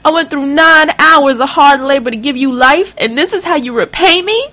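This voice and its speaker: A woman voicing an enraged, cursing middle-aged mother who shouts through tears. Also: high-pitched, normal speed, pitched above the performer's own voice. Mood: furious.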